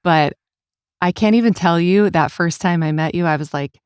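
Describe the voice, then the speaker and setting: A woman speaks as the host of an interview podcast. Her voice sits a little lower than most women's. The sound is dry, with very little room on it. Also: normal speed, clear, resonant tone, no echo. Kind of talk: warm interview with scripted introduction.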